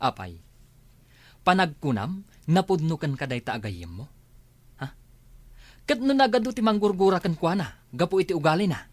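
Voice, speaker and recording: A man's voice: on the high side, sing-song, moderate pace, long pauses, no room sound. A man playing an argumentative, fault-finding village husband with an Ilocano accent in a comedy radio drama. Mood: accusing, taunting. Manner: argumentative, taunting.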